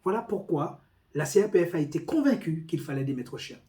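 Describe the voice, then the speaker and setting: A man speaking as a police union representative, giving a formal, deliberate, emphatic report on a meeting. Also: on the high side, animated, warm tone. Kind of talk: spoken meeting report with quoted documents.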